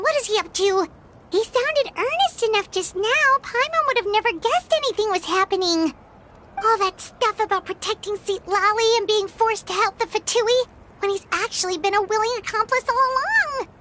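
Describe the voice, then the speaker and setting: An actress voicing a tiny, excitable female fairy companion who talks about herself in the third person. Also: very high voice, noisy background. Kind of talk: game voice acting. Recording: recorded game audio with music behind. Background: game music.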